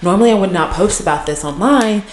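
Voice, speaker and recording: A woman's voice: mid-range voice, quick pace, roomy sound. A woman co-hosting a podcast, chatting casually with lots of fillers. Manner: chatty, many fillers and restarts. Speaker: podcast co-host.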